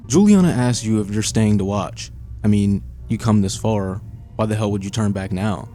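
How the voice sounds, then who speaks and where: A man's voice: on the low side, normal speed, warm tone, faint music behind. A man narrating a scripted video essay in a suspenseful storytelling manner. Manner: suspenseful second-person storytelling.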